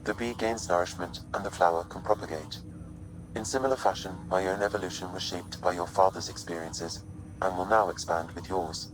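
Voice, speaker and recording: Very deep, measured man voicing an artificial intelligence. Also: fairly even intonation, music in the background, close to an everyday voice, rough recording. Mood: calm, analytical. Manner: measured, formal, precise explanations. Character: calm, analytical male artificial intelligence aiding an explorer. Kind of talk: scripted video game dialogue.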